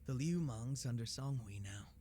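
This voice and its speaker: A man's voice: medium pitch, expressive, normal speed, mellow, faint music behind, lightly acted. An actor playing a wry, laid-back but dangerous male gang leader in a video game dub, with a casual, teasing drawl. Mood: calm, casual.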